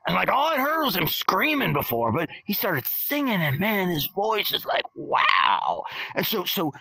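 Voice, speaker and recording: A drawling skeleton rocker, centuries old and utterly unbothered, as a man puts him on. His bright, slightly high voice swoops up and down as he rambles, tagging "man" onto nearly every phrase. The sound is dry and close to the mic. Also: huge pitch swings, a little slow, slightly rough, no echo, heavily acted, rough recording. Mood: chill. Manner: drawling slacker dude, says "man" constantly. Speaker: bassist and singer in the guest band. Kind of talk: in-character storytelling. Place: virtual-world podcast, dry sound.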